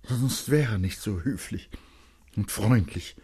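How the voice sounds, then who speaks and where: A man's voice: lowish voice, slow, darkish tone, husky, pitched above the performer's own voice, full character voice. A man voicing an agitated, defiant young boy in a literary audiobook, speaking vehemently and insistently to his mother. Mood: furious accusing. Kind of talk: dramatic literary audiobook acting.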